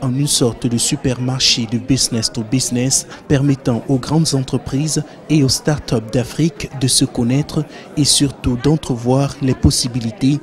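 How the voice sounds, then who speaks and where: A man reading formal, scripted documentary narration in an even, measured way. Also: mid-range voice.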